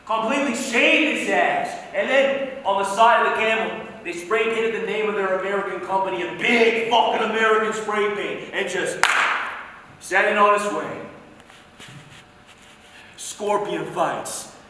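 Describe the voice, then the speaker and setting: An actor playing an anguished, foul-mouthed younger man in a dramatic stage monologue, speaking emphatically in street talk with dramatic pauses. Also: high voice, sharp tone. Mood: agitated, incredulous. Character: anguished, foul-mouthed younger man grieving over his soldier brother. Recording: very echoey hall, distant mic, faint noise.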